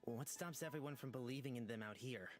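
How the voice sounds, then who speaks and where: A woman's voice: a bass voice, fairly quick, a little mellow, voice pushed down. A woman voicing an earnest, idealistic young man in a visual novel, a little hesitant. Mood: earnest, determined. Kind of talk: visual novel character reading. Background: music.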